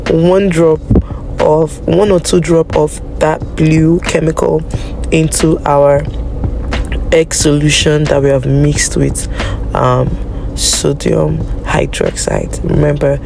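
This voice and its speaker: Hoarse, raspy Nigerian woman teacher. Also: low-pitched, level tone, slow, background noise. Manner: chatty and apologetic, with many fillers. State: hoarse from a flu. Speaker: biology teacher. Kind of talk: casual lab-practical vlog narration. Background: background music.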